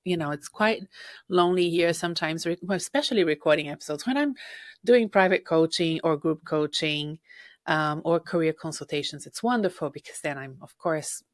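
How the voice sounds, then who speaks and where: A woman hosting a solo podcast as a career coach, talking chattily and straight to the listener, her voice husky from a recent illness. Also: deep voice, normal speed, dry recording, clean studio sound. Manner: chatty, personal, addresses listener directly.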